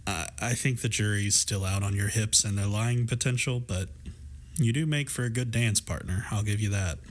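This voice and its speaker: Man playing a low-voiced, teasing, supportive best friend. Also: normal speed, natural voice. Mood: playful, teasing. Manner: playful, affectionate, wry, reassuring. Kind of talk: intimate scripted roleplay audio drama.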